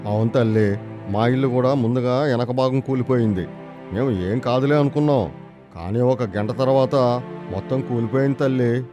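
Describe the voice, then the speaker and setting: A man's voice: mid-range voice, normal speed, music in the background, lightly acted. A man playing a humble older uncle, ashamed and apologetic, speaking sorrowfully in a moral story. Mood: worried. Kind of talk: moral story character dialogue.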